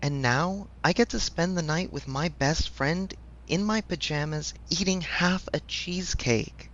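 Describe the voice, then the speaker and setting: A man's voice: on the high side, measured pace, a bit bright, muffled recording, slight background noise. A man playing a supportive best friend in a casual audio roleplay, speaking frankly with affectionate teasing. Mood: warm, affectionate. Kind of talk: ASMR audio roleplay, conversational.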